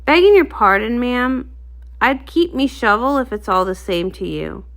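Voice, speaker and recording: A woman's voice: on the high side, lively intonation, normal speed, fairly bright tone. A woman voicing a brave, humble male pony warrior with folksy, old-fashioned speech in a storybook reading. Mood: earnest, humble.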